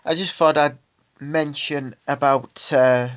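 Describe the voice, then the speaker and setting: A man with a cold and a stuffy nose gives an indignant, rambling solo opinion monologue, full of pauses and restarts. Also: a little high, steady tone. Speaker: vlogger.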